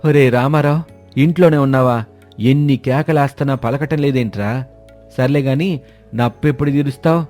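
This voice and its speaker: A man voicing an angry, fed-up moneylender in a story, shouting his demands. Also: medium pitch, normal speed, long pauses, voice pushed up, lightly acted. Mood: demanding. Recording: music behind the voice.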